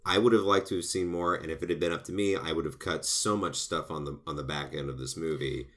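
Man, very low voice, casual chatty film-discussion co-host, restarts a lot. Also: fast talker. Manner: rambling, animated, frequent restarts. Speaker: film review podcast co-host.

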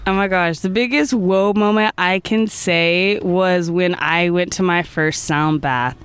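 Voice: medium pitch, unhurried, fairly bright tone, clear, resonant tone. A person in their twenties, a podcast guest talking casually and rambling, with lots of "like" and "I feel like" and frequent little laughs. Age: 20s. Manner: rambling, many "like" and "I feel like" fillers, laughs often. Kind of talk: casual interview chat.

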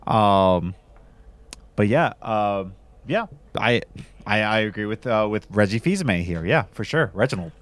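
A man's voice: lowish voice, animated, a little slow. A man hosting a casual podcast chat, losing his voice from a cold, rambling with lots of fillers and restarts. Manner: rambling, many fillers and restarts, laughs often. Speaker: podcast host.

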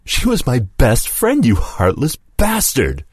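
A man voicing Lewis, an angry, vengeful former comrade who shouts accusations and whose voice cracks. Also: sits low, expressive, moderate pace, slightly rough, no echo, clean studio sound, exaggerated voice. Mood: furious shouting.

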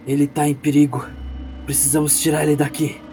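A Brazilian man playing an anguished, stubborn hero burdened by fate, with halting, pleading and emphatic lines in a role-playing audio drama. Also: on the high side, level tone, dark tone, a little hiss or hum, lightly acted. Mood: defiant, urgent.

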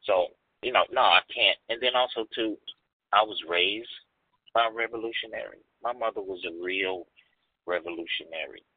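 Man, 40s, low voice, forceful and repetitive delivery; dry, tinny phone-quality audio. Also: normal speed, breathy edge, dry recording. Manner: emphatic, repeats phrases for stress. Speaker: guest. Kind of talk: candid podcast conversation.

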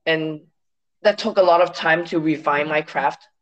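A man's voice: a little high, fairly bright tone, lo-fi sound. Earnest man, native Cantonese speaker. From Hong Kong. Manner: earnest and reflective, measured with some restarts. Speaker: guest, science story editor.

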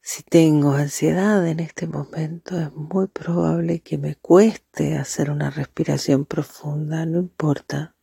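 A meditation guide speaking softly and breathily in a calming, deliberate way with marked pauses. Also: medium pitch, vocal fry, slightly rough, no echo, clean studio sound.

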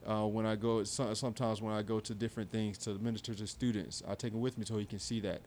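Man, 30s, lowish voice, earnest and reflective podcast co-host, faint background hiss. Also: level tone, quick pace, darkish tone. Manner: earnest, reflective, speaks in restarts and fillers. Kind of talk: conversational discussion.

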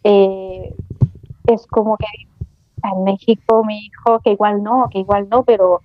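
A Mexican woman guest talking in a chatty, animated way, with colloquial slang. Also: medium pitch, a little slow, no echo, muffled recording. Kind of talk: casual podcast conversation.

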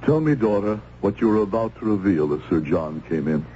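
An actor playing a solemn, stern but compassionate minister in a radio drama, speaking gravely and with measure. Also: male voice, lowish voice, expressive, fairly quick, dark tone, close to an everyday voice. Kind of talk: old-time radio drama acting. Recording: dull, noisy old radio recording.

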